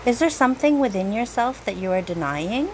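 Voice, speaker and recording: A woman leading a guided meditation in a gentle, unhurried manner, leaving long pauses between phrases. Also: fairly high pitch, lively intonation, slight background noise. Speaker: meditation guide, reiki teacher. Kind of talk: guided meditation, slow soothing narration.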